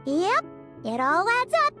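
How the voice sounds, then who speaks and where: Tiny, squeaky, excitable sprite girl. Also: unhurried, faint music behind, exaggerated voice. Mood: musing. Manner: perky, exclamatory, chatty. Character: small childlike female sprite companion, cheeky and excitable. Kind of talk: video game character dialogue.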